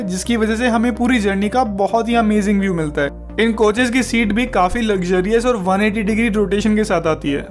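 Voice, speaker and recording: A man hosting a travel channel, reading a scripted voice-over in an upbeat, recommending tone. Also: sits high.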